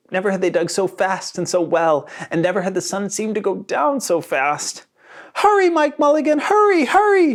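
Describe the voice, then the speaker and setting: A narrator reading a children's storybook aloud with dramatic, expressive emphasis. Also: medium pitch. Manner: dramatic, with sound words and slowed emphasis.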